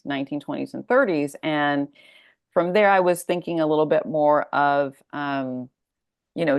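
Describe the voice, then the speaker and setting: A woman's voice: sits low, gravelly, a little mellow, no echo, clean studio sound. A middle-aged American woman talking casually as an interview guest, thoughtful and discursive as she works out her answers. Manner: thoughtful, discursive, thinking aloud. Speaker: guest author discussing her story collection.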